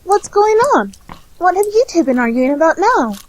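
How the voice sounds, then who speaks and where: A woman's voice: very high-pitched, big pitch swings, moderate pace. A woman playing a cheerful, selfless teenage girl in an amateur anime-style radio drama, speaking politely and earnestly. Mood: puzzled.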